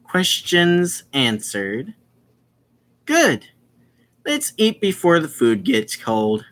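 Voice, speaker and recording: A man reading the part of a composed, matter-of-fact woman from a visual novel in a measured way. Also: on the high side, huge pitch swings, fairly bright tone, voice pushed up, exaggerated voice. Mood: brisk, decided.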